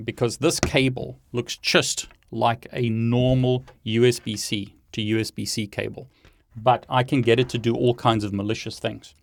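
A man's voice: mid-range voice, gravelly, dry recording, studio quality. A man hosting a tech tutorial, explaining a hands-on demonstration step by step. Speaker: tech video host and instructor.

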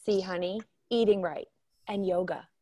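A woman's voice: lowish voice, normal speed, warm tone, no room sound, lightly acted. A woman acting a caring, fussing mother of a grown daughter in a radio drama. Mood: friendly, fussing.

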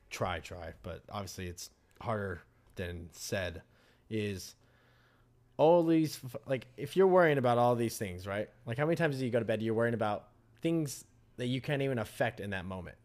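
A man's voice: fairly low pitch, animated, normal speed, darkish tone. Laid-back man rambling in casual chat. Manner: loose, rambling, swears casually, jokey asides. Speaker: podcast co-host.

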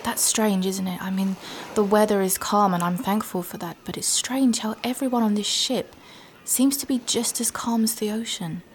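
An earnest young woman on her first adventure, played by an actress, talks at a medium pitch. She sounds natural and thoughtful, in fantasy audio drama style, with some ambient noise behind her. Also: moderate pace, lightly acted. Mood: uneasy. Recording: noticeable ambient background noise.

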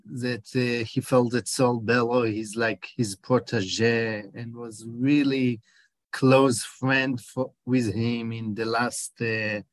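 A man in his forties with an Israeli accent talks slowly and haltingly, stopping often to hunt for the right word. He answers interview questions as a documentary director. The recording is close and dry, with almost no room sound. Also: medium pitch, no echo. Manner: halting, searches for words, many hesitations.

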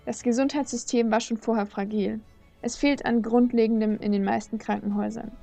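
Young female reporter, higher voice with strong fry, scripted reportage over faint music. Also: steady tone, a little fast, mellow. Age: young adult. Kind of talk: scripted radio reportage narration.

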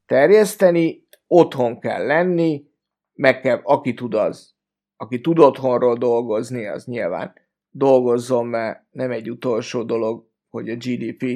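A man's voice: mid-range voice, a little mellow. A man talking casually as a panelist on a football podcast.

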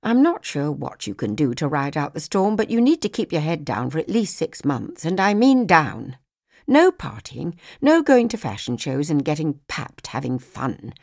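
A woman voicing a hard-nosed, scolding female talent agent in an audiobook, with a brisk, blunt, emphatic manner. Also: sits low, sing-song, darkish tone, no room sound, clean studio sound, full character voice. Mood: stern lecturing.

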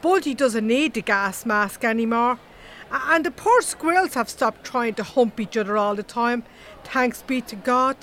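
An actress playing a blunt, chatty, gossipy Irish animal-rights activist with an earthy colloquial manner in a comedy audio drama. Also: female voice, on the high side, lively intonation, normal speed, a bit bright, slight background noise. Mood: cheeky.

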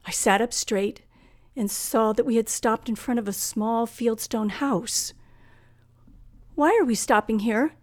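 Frightened, high-voiced heroine of radio thriller. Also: female voice, a little slow. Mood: anxious. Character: frightened young woman narrator held captive by killer. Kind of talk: 1940s radio thriller acting, first-person narration. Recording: old radio drama with music behind.

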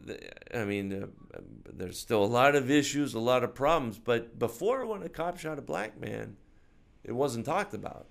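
A man's voice: mid-range voice, expressive, moderate pace, gravelly. An older man with an American accent, talking casually and hesitantly as he thinks aloud about his views. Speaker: interview guest, film maker. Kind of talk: casual conversation about films and politics.

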